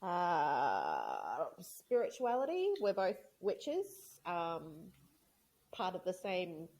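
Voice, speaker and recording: A woman's voice: fairly low pitch, huge pitch swings, extremely slow, a little mellow. A woman in her thirties co-hosting a podcast, chatting casually, rambling and laughing often. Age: 30s. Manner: giggly, rambling. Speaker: podcast co-host. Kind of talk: casual chat.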